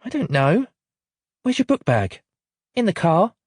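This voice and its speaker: A man puts on a small girl's high voice, bright and anxious. The voice is slightly breathy and swings widely in pitch. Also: very animated, measured pace, dry recording, studio quality, heavily acted. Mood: pleading. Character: little girl, anxious and sorry.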